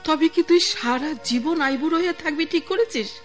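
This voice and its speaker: Casting: woman playing motherly middle-aged auntie, exclamatory and lively, high pitch, music underneath, radio drama. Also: moderate pace, natural voice. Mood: pleading, resigned. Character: fussing, scolding, affectionate middle-aged aunt.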